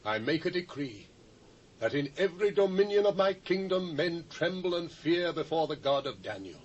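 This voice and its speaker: A man's voice: fairly high pitch, sing-song, normal speed. A British actor playing a solemn, commanding ancient king in a dramatised Bible reading, with grand, declamatory delivery. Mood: solemn, exalted. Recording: muffled old cassette with faint hiss.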